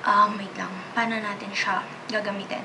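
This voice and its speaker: A woman's voice: mid-range voice, level tone, brisk, noisy background. A woman in her twenties with a Filipino accent, chatting casually to camera from her bedroom and mixing languages. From Philippines. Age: 20s. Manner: switches freely between English, Tagalog and Spanish, chatty. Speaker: vlogger. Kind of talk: casual chat. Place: small bedroom, indoors. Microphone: camera in front, seated close.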